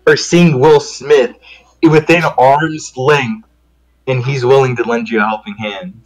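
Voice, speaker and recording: A man's voice: medium pitch, steady tone, a little slow, crowd noise, low-quality recording. A man chatting casually and jokingly, building up mock arguments in a theatrical way. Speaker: guest voice reader on a stream.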